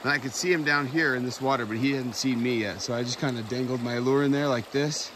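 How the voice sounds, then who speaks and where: A young man hosting a fishing vlog, chatting excitedly as he fishes a snowy mountain creek on an action camera. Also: medium pitch. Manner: chatty, excitable running commentary. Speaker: fishing channel host. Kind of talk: casual vlog narration while fishing. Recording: clear outdoor stream noise behind. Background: rushing stream water.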